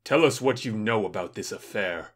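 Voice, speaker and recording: A commanding ruler voiced by a man sits in judgment in an audio tragedy. His voice is fairly low, stern and deliberate, full of authority. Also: lively intonation, a bit bright. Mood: grave, commanding. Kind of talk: modernized Shakespeare audio drama acting.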